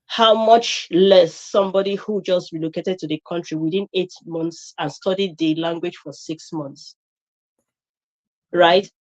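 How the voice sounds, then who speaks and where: Nigerian woman, low voice, dull audio. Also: fairly even intonation, a little slow, darkish tone. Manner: emphatic, fillers and restarts. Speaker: guest. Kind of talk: live-stream interview.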